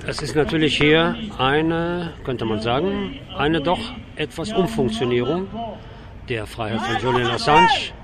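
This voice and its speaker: A man working as a radio street reporter, speaking off the cuff in halting, searching phrases, live from a demonstration outdoors. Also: fairly high pitch, lively intonation. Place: outdoors on a city avenue at a demonstration. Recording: noisy outdoor street with crowd. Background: crowd and street noise.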